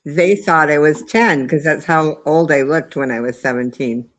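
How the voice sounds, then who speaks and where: A woman's voice: sits low, normal speed. A woman hosting a casual online chat from home, telling stories with lots of laughter. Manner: animated storytelling, laughs often.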